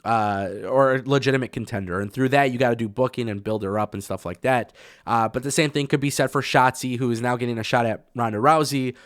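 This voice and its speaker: A man with a cold gives wrestling news in a raspy, mid-pitched voice, chatty and opinionated, full of "uh" and "you know." The sound is dry and close, with no room echo. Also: fast. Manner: chatty and opinionated, with many fillers. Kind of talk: casual news commentary.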